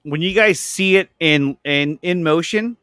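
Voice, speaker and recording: A man with a fairly high-pitched voice talks casually and with enthusiasm. He gushes, stopping and repeating words as he goes. The sound is dry, with very little room on it. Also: pushed and bright, clean tone, no room sound. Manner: gushing, halting with repeated words.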